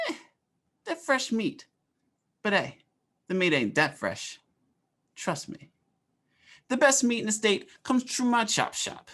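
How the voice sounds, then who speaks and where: A woman's voice: lowish voice, fairly bright tone, heavily acted. A woman playing a folksy, pushy male butcher who gives a wisecracking, streetwise hard sell in an old-time radio ad. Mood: boastful. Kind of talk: 1940s radio ad acting.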